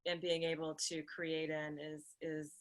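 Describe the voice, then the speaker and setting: A woman's voice: sits low, little pitch movement, measured pace. A woman chatting casually as a show co-host over a video call. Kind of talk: casual chat.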